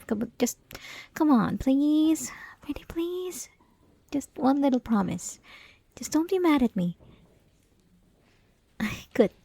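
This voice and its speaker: Woman acting a soft, high-voiced clingy yandere schoolgirl. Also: gravelly, slightly rough, dry recording, full character voice. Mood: nervous, pleading. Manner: coy, teasing, pleading, halting, often dropping to whispers. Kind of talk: ASMR roleplay, intimate scripted monologue.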